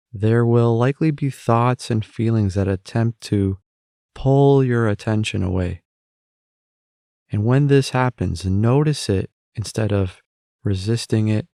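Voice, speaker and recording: A man leading a guided meditation as the guide, speaking in a calm, gentle, instructing manner with pauses. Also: fairly low pitch, gravelly, no echo, studio quality.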